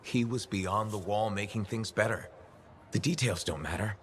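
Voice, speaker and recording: An actor playing a steady, frank, reassuring freelancer hero in a video game, speaking in a plain conversational way. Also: male voice, lowish voice, moderate pace, close to an everyday voice. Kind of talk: video game dialogue acting. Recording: clear ambient noise behind the voice.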